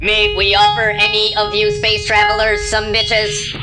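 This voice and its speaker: A woman's voice: mid-range voice, monotone, measured pace, natural voice, rough recording. A woman playing a pushy male pimp robot who mixes formal machine talk with street slang, in a sci-fi comedy radio play. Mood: salesmanlike. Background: music.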